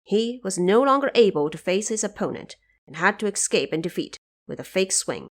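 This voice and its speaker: A woman's voice: medium pitch, expressive, moderate pace. Woman narrating an audio drama. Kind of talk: scripted audio drama storytelling.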